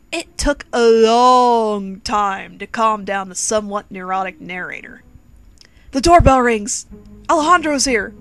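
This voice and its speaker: A woman giving a theatrical, playful and sarcastic dramatic reading of a comic parody story in the second person. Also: mid-range voice. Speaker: fan fiction reader. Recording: quirky background music under the voice. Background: quirky instrumental music.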